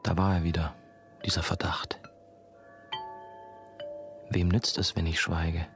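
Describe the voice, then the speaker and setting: Casting: young novice monk, low male voice, introspective radio-play narration over soft music. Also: flat delivery, vocal fry, full character voice. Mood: brooding. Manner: measured, introspective. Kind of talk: scripted first-person radio play narration.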